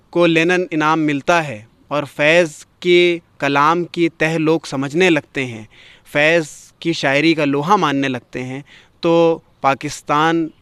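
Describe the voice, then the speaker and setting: A man's voice: a little high, a bit bright, clear, resonant tone, no room sound. A man hosting a poetry gathering, speaking formally and eloquently in a ceremonious literary style. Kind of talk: formal spoken introduction and commentary in literary Urdu.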